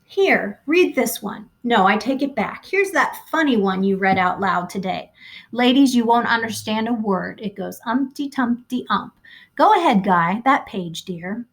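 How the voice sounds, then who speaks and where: A woman's voice: fairly high pitch, lively intonation, a little slow. A woman doing sugary, brittle wife Mildred. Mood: coaxing. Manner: sugary and coaxing, forced brightness. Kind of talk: audiobook character voice.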